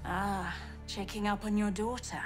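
A woman's voice: medium pitch, fairly even intonation, a little slow, close to an everyday voice. A young woman playing a headstrong scientist daughter in a video game, defiant and wounded, with a pointed manner. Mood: wry. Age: young adult. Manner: pointed, challenging. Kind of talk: dramatic video game cutscene acting. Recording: music behind the voice.

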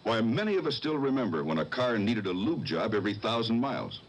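A man's voice: lowish voice, sing-song, a little fast. An older man giving a folksy scripted commercial address as a car company spokesman. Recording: faintly noisy 1970s TV tape.

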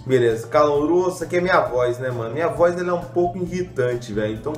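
A man's voice: on the high side, fast, echoey room, faint music behind. A young adult man giving casual, rambling gameplay commentary, full of slang and self-mocking jokes, a little congested from a cold. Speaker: gaming youtuber.

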